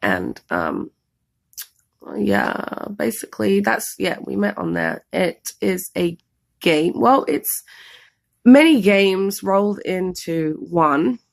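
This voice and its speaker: A woman's voice: on the low side, big pitch swings, slow. A woman from London with a London accent, losing her voice to a cold, chatting casually and rambling through a story time for a vlog. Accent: London English. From England, London. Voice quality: hoarse, gravelly. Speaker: vlogger co-host.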